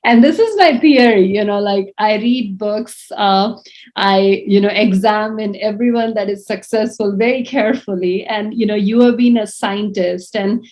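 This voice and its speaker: A woman's voice: medium pitch, normal speed, a little mellow. A young woman interviewing a guest, asking long, chatty questions full of "you know" and "like". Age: young adult. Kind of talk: conference interview.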